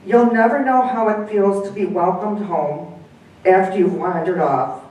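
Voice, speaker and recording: A woman's voice: mid-range voice, measured pace, darkish tone, far from the mic, natural voice. A woman reading the part of a regretful middle-aged man talking with his dog, heard from afar in an echoey room. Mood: reflective. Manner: weary, conceding. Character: rueful middle-aged widower, gruff, regretful.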